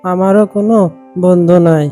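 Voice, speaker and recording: A performer voicing a gentle, lonely cartoon fox with plaintive, earnest, simple phrasing. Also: medium pitch, fairly even intonation, normal speed, soft background music, natural voice. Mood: sympathetic, timid.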